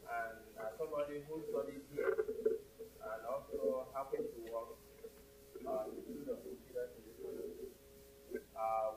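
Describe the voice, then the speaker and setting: Nigerian man asking a question from afar. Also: mid-range voice. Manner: long, rambling question full of fillers. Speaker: audience member asking a question. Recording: distant, echoey hall with hum.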